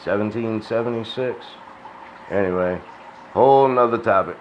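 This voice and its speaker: A man's voice: fairly low pitch, moderate pace. A man rambling in a casual, profane, folksy monologue, sounding tired and dry-mouthed. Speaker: crypto video host. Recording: dull lo-fi sound with faint hiss.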